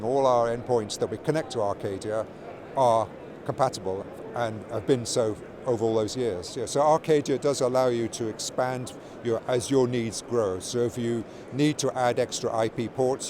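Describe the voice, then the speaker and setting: A man's voice: mid-range voice, moderate pace. A middle-aged or older man giving an informal interview as a company product executive, explaining things with repeated words and restarted phrases. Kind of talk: informal trade show interview. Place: busy trade show exhibition hall. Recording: loud trade show floor noise. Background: clear ambient show floor noise.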